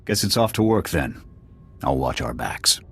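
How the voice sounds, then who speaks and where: A man's voice: a bass voice, sing-song, unhurried, background noise, exaggerated voice. An actor voicing a wry, skeptical male soldier who makes sardonic remarks in a game cutscene. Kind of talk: video game cutscene voice acting.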